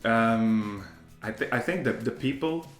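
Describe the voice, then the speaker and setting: A man's voice: mid-range voice, lively intonation, unhurried, roomy sound, faint music behind. A man from Brazil with a Brazilian accent, a native Portuguese speaker and English teacher, talking emphatically as a guest in a casual interview.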